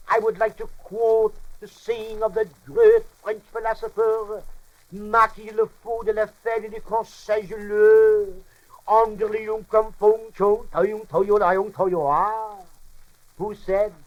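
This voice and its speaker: A man's voice: high-pitched, rough recording. A man playing a pompous, bumbling comic French professor in a radio monologue, lecturing in muddled, mock-formal language and mangled words.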